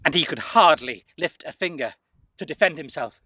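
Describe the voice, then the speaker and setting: A man narrating a folktale as a storyteller, theatrical and emphatic, with suspenseful pauses. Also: a little high, animated, very noisy.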